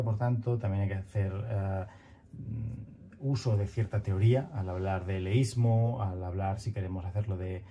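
Muffled, halting Spanish-speaking lecturer, deep and dark. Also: male voice, a little hiss or hum. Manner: thoughtful, halting, many fillers and restarts. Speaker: grammar teacher. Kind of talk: recorded online lecture with slides. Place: small room at home, bookshelf behind.

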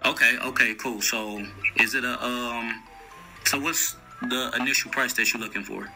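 A man's voice: mid-range voice, fairly even intonation, moderate pace. A young man making a casual prank phone call, talking in slang and haggling in a needling way. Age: young adult. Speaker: prank caller. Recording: music behind the voice.

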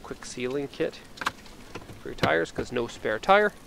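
Gravelly man doing a casual car walkaround. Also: medium pitch, expressive, measured pace. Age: middle-aged. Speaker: car exporter presenting a vehicle. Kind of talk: casual unscripted walkaround review. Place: outdoors by a parked car and inside it. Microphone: handheld camera microphone. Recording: outdoor handheld camera, clear ambient noise.

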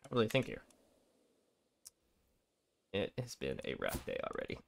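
Sick young man, raspy game commentary. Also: low-pitched, huge pitch swings, moderate pace. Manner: rambling, thinking aloud, frequent throat clearing. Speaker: gameplay commentator. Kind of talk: casual let's play commentary.

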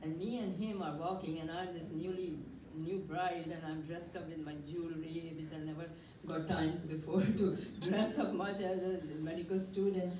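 Older woman, storytelling, low voice, roomy echo, distant noisy recording. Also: steady tone, moderate pace, hardly any pauses, warm tone. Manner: conversational, hesitant with fillers. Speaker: workshop participant. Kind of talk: personal storytelling. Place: community room. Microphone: handheld mic. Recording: echoey room, mic at a distance, noisy.

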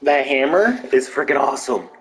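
A man's voice: mid-range voice, expressive, normal speed, low-quality recording. Playful young man streaming a game. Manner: playful, teasing, bursts of silly voices. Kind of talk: casual gaming chat with a friend.

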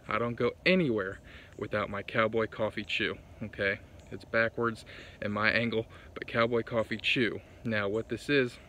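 A man's voice: fairly low pitch, a bit bright, a little hiss or hum. A young man giving fishing tips in an upbeat, chatty way, filmed outdoors on a lakeshore with a phone held at arm's length. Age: young adult. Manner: chatty, upbeat, talks straight to camera. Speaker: fishing vlogger. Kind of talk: casual outdoor tips vlog.

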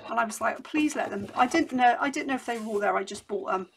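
A middle-aged woman, hoarse from losing her voice, chatting casually and rambling through a craft haul at her desk at home. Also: fairly high pitch, fast talker, a little hiss or hum. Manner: rambling and chatty, many restarts. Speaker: crafting vlogger.

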